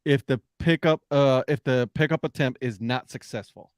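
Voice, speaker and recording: A man's voice: medium pitch, moderate pace, smooth, no room sound, clean studio sound. A man co-hosting a baseball talk show in a casual, conversational style. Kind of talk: casual chat.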